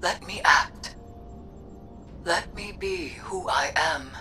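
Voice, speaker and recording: An actress voicing a brooding, vengeful occult sorceress in a video game, solemn, formal and defiant. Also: female voice, lowish voice, slow, pushed and bright. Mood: pleading, resolute. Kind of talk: theatrical video game dialogue. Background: music.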